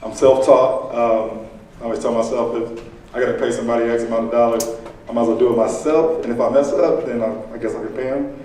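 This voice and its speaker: A man in his late twenties from Illinois, a contractor giving a casual personal pitch to a live audience, relaxed and conversational with frequent fillers. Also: quick pace, distant mic, a little hiss or hum. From Illinois, USA. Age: late 20s. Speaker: contractor and aspiring entrepreneur. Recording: echoey room, picked up from afar.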